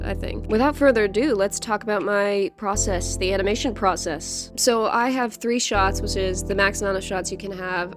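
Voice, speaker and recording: A young woman with a congested, sick voice, chatting casually in a vlog and laughing often at herself. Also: medium pitch. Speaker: animation project host.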